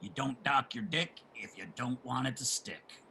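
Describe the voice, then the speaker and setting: Casting: male actor as brash homeless ex-merchant marine, gravelly, forceful, crude and boastful, stage drama. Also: medium pitch, lively intonation, normal speed, heavily acted. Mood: gossiping, mocking. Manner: combative, crude street talker. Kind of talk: theatrical table reading of a stage play.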